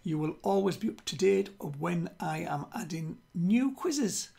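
An older British man hosting a quiz, speaking in a deliberate way and repeating himself. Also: high-pitched, expressive, gravelly, dark tone, slightly rough. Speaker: quiz host. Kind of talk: reading quiz questions to camera. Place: small room at home with bookshelves.

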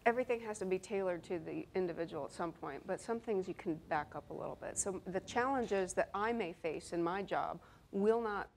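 A woman's voice: on the low side, a little fast, vocal fry, darkish tone, slight background noise. A woman in her thirties speaks on a conference panel stage as an engineer. She talks earnestly, often repeating words as she gathers her thoughts. She speaks into a lapel mic. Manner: earnest, with many repeated words and light humor. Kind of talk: panel discussion.

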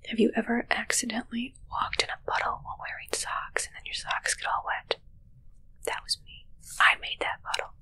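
A woman's voice: a little high, flat delivery, normal speed, pushed and bright, heavily acted. Woman playing a whispering, mischievous witch. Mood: sly, boastful. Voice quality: breathy whisper. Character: mischievous, fussy, teasingly insulting female witch. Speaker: ASMR roleplay performer. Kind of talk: whispered ASMR roleplay. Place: small indoor set with curtains. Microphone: close ASMR microphone.

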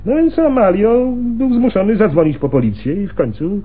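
A man's voice: sits high, very animated, a little fast, husky, exaggerated voice. An actor playing a chatty, gossipy male pawnbroker in a radio play, garrulous and fond of telling stories. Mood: gossipy, amused. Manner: garrulous, chuckling storyteller. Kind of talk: Polish radio play acting. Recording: muffled, phone-like noisy radio recording.

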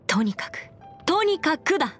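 A woman's voice: high-pitched, sing-song, normal speed, fairly bright tone. A woman voicing a confident, clever young female legal advisor in an anime game, articulate and slightly boastful, with playful pride. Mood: proud, confident. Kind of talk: Japanese anime game character voice lines. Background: music.

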